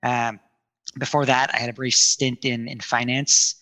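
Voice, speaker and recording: A man's voice: medium pitch, little pitch movement, a little slow, sharp tone. A man from New Jersey, a company founder interviewed as a guest, talking in an earnest, reflective way. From United States, New Jersey. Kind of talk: casual interview chat.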